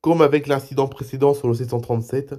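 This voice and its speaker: Soft-spoken man with a head cold. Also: medium pitch, steady tone, quick pace. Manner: reads articles, then adds loose spoken asides. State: has a cold, speaks softly. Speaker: YouTube news show host. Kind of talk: reading aviation news aloud with comments.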